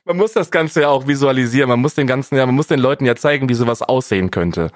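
A man's voice: medium pitch, fast talker, dry recording, studio quality. A man co-hosting a casual podcast, bantering in an ironic, slangy way. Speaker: podcast co-host.

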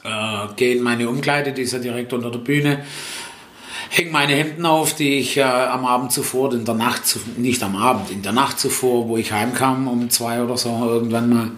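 A man's voice: mid-range voice, moderate pace, a bit bright. A middle-aged man whose first language is Italian, working as a festival-tent jester and stage host, answering interview questions in a rambling way, full of fillers, self-corrections and a tag "ne?". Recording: roomy echo with faint background noise.

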